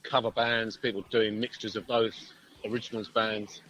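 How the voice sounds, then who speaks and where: A man's voice: fairly low pitch, level tone, moderate pace, fairly bright tone, a little hiss or hum. A British man chatting casually and agreeably as a musician guest in an interview over a phone call. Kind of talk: casual chat. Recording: dull phone-call audio.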